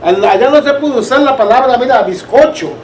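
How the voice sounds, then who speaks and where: A man's voice: very high-pitched, lively intonation, fairly quick, darkish tone. A man with a Caribbean Spanish accent teaching an informal church Bible class, telling anecdotes conversationally and often checking that listeners follow. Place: church room. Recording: distant roomy mic, background noise.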